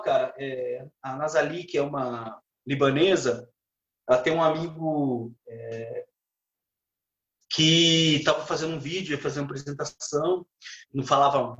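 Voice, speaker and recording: A man with a Brazilian accent, a guitarist chatting as a podcast guest in a relaxed, halting way full of fillers and restarts. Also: medium pitch. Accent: Brazilian Portuguese. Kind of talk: casual podcast chat. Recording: roomy echo.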